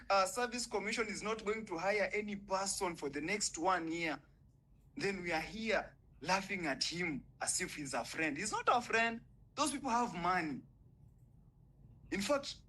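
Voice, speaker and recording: Young kenyan guy ranting hot and angry, high bright voice. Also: poor audio. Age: young adult. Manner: fiery, indignant, swearing. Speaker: caller in an online audio space. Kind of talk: impassioned political speech.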